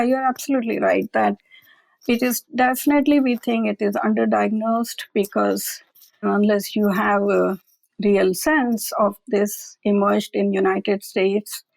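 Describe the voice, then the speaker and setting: A woman's voice: mid-range voice, vocal fry, darkish tone, no room sound, low-quality recording. A woman scientist from a public health lab, explaining in a plain-spoken, matter-of-fact way during an interview.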